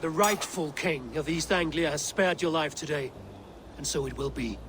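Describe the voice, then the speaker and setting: An actor playing a stern, proud and resolute Viking warrior in a video game, speaking with grave command. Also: male voice, fairly high pitch, normal speed, heavy background noise, natural voice. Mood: grave, firm. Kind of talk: video game dramatic acting.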